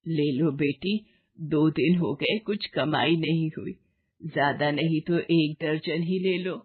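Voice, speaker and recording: A woman's voice: deep voice, slow pace, husky, full character voice. A woman voicing a poor, pleading old bangle seller in a moral story cartoon, on a thin recording. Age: older. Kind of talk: animated moral story dialogue. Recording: thin phone-like band.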